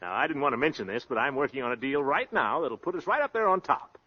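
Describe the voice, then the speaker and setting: An actor playing a boastful, breezy American husband, a glib, slangy schemer in a 1930s radio comedy-drama. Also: male voice, mid-range voice, sing-song, fast, slightly rough. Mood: evasive bluster. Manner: glib, pompous, peppered with slang and nicknames. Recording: dull lo-fi old radio recording.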